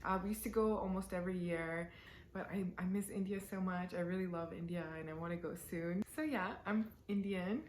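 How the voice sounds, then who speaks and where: A woman's voice: on the low side, steady tone, brisk, a little mellow, slight background noise. A woman in her twenties chatting casually to camera in a Q&A, giggly and full of fillers. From born in the UAE, raised in Canada. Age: 20s. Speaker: vlogger. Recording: roomy echo.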